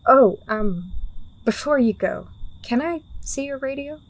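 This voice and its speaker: A woman's voice: fairly high pitch, expressive, a little slow, low-quality recording. A woman playing a world-weary, pragmatic underworld operative in a fantasy audio drama, blunt and wry with a teasing edge.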